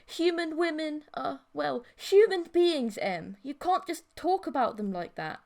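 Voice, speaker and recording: A woman's voice: high-pitched, expressive, a little slow, creaky, a little mellow, voice pushed up, heavily acted. A woman playing an exasperated young boyfriend in an audiobook, earnest and protesting. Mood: earnest, indignant. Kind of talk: audiobook character voice.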